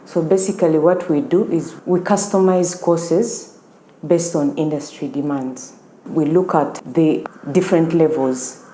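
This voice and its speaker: A woman's voice: sits low, mellow. A woman explaining a training programme in an interview, recorded in an echoey room with faint noise. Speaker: training staff member.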